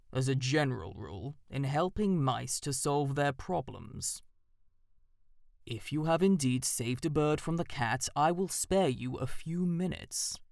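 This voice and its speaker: A narrator voicing a stately old male owl who speaks in a formal, measured and aloof way. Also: mid-range voice, creaky, darkish tone, no echo, clean studio sound.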